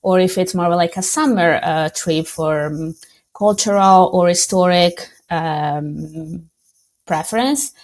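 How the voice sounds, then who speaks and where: A woman from Italy with an Italian accent, answering interview questions as a tourism representative in a measured, explanatory way. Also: on the low side, darkish tone. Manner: explanatory, measured, with small slips.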